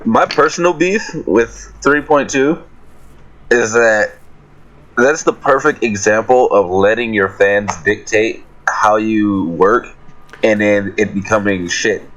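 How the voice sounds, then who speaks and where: A man's voice: lowish voice, slow pace, a bit bright, dull audio. A man chatting casually in a group Q&A, blunt and joking in how he talks. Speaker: music group member answering fan questions.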